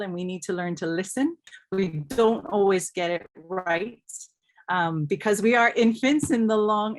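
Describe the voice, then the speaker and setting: A woman from Hawaii speaking as a scholar on a panel, earnest and reflective, repeating phrases for emphasis. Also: mid-range voice. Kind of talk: reflective panel talk with storytelling.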